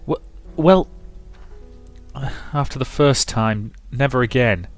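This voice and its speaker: A man voicing an insecure, affectionate, tipsy young woman who speaks in a halting, earnest, confiding way in a visual novel. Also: medium pitch, soft background music, natural voice. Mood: hesitant, confiding.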